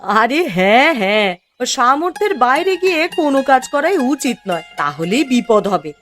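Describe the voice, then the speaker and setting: Lively, high-voiced village wife woman acting. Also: moderate pace, soft background music. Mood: agreeable. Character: polite married village neighbour woman, deferential. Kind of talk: Bengali moral-story cartoon voice acting.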